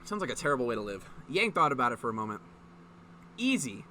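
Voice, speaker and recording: A man's voice: mid-range voice, big pitch swings, fast, sharp tone, slight background noise. A man reading a story aloud in a mocking, informal way, breaking off to add sweary, incredulous commentary. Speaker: online video host reading fan fiction aloud.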